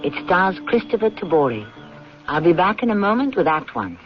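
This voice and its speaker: A male host narrates an old radio drama in formal, measured phrases. His voice is a little high and has a lecturing manner. The sound is dull, with faint music behind it. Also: sing-song. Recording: muffled 1970s radio broadcast.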